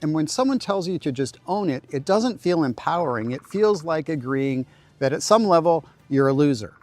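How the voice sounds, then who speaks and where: A man speaking straight to viewers in a reflective monologue, with earnest, deliberate short phrases. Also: a little high, animated. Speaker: video creator speaking to viewers. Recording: dry close voice with faint music. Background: faint music.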